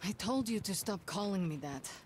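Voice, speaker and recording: A woman's voice: mid-range voice, lively intonation, normal speed, a little hiss or hum, lightly acted. Woman playing a fierce, terse Russian sniper. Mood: grim, guarded. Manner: terse, blunt. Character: fierce, vengeful young female Soviet sniper, protective and stubborn. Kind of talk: dramatic video game cutscene acting. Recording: loud music over dialogue.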